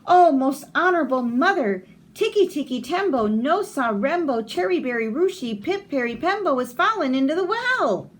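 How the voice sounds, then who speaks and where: A woman reading aloud who voices a small boy frantically pleading for help, breathless and high. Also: expressive, normal speed, hardly any pauses. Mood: urgent pleading. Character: frantic little boy trying to save his brother.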